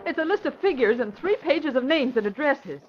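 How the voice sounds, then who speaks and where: A woman's voice: high voice, animated, fairly quick. A woman playing an earnest, bashful young office secretary in an old radio drama. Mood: excited. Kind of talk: 1940s-50s radio drama acting. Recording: dull noisy vintage radio recording.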